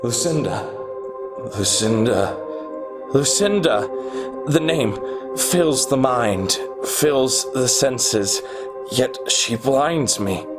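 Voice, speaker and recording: An actor playing an obsessive, lovelorn and arrogant genius programmer in a brooding radio play monologue. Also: male voice, medium pitch, lively intonation. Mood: anguished, dazed. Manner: brooding, halting, scornful monologue. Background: music.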